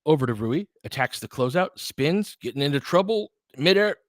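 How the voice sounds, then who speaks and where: A man's voice: fairly high pitch, lively intonation, normal speed, dry recording, clean studio sound. A man doing basketball play-by-play commentary in clipped phrases, with informal asides and casual analysis.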